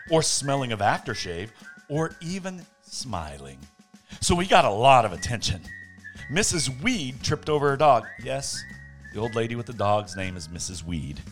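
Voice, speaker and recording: A man reading a bedtime story aloud as a podcast host, with playful dramatic pauses and casual asides. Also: on the low side.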